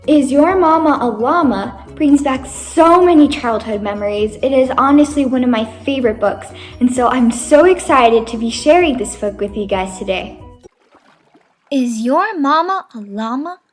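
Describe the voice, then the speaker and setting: High-pitched woman reading a children's storybook. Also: soft background music. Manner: animated, deliberate storytelling delivery. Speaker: children's read-aloud host. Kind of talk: expressive storybook read-aloud for young children.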